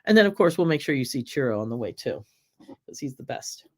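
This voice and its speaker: A middle-aged woman from the United States hosting a casual cooking live stream, chatting with viewers in a rambling, friendly way. Her voice is slightly raspy while she recovers from an illness. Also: a bass voice, big pitch swings, fairly quick, a little mellow. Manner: chatty, rambling, many ums, reads viewer comments aloud. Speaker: cooking show host.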